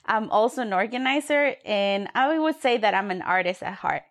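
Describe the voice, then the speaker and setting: A woman's voice: mid-range voice, expressive, moderate pace. A woman from Ecuador co-hosting a youth podcast, chatty and friendly, with fillers. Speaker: podcast co-host, youth worker.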